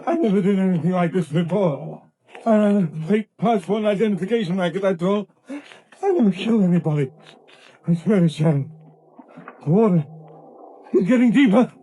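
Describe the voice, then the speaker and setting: An actor playing a cowardly, pleading small-time crook, fearful and halting, in 1930s radio melodrama. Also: male voice, high voice, lively intonation, warm tone, a little hiss or hum. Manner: fearful, halting, repeats words. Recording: vintage 1930s radio broadcast.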